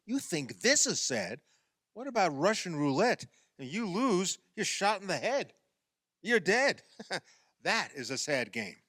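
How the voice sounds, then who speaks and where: A man's voice: high-pitched, big pitch swings, a little slow, pushed and bright, dry recording, clean studio sound, exaggerated voice. An actor in a radio play playing an exasperated man who coaxes and explains insistently, often stammering. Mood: coaxing. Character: exasperated man coaxing a woman through a playground basketball game.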